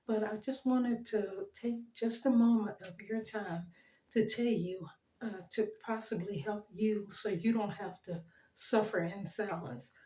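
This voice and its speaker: A middle-aged woman talking earnestly to her viewers, her voice worn and fading, pausing often. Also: medium pitch, echoey room, poor audio. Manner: earnest, gentle, pauses with ums and soft laughs. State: losing her voice. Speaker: channel host. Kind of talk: heartfelt motivational talk to camera.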